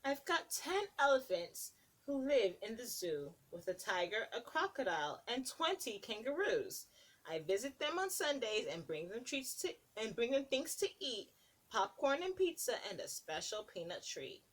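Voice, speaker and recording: A preschool teacher reads a picture book aloud in a sing-song way, her voice rising and dipping a lot. She pitches slightly high and keeps stopping to ask the children what comes next. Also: big pitch swings, moderate pace. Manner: sing-song, asks children questions between pages.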